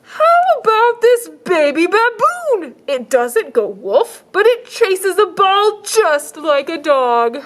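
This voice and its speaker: Lady reading as a pushy, eager shelter guy for a storybook, high lively voice, slow big pitch. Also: exaggerated voice. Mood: showy pleading. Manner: slow, pleading, showy salesman pitch. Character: eager male animal shelter worker.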